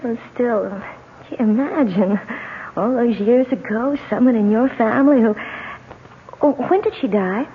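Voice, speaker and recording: A woman's voice: fairly high pitch, a little slow, natural voice. A young woman with an American accent acting a gushing, giddy newlywed heiress in a radio drama, stammering and repeating herself. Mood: awed, uneasy. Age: young adult. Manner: breathless gushing, stammering repetitions. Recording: tinny, noisy old radio recording.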